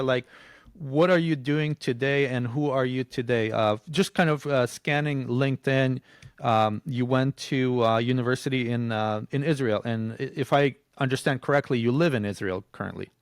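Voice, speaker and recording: Man, podcast host, medium pitch, casual interviewing, halting phrasing, dry room-free sound. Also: no room sound.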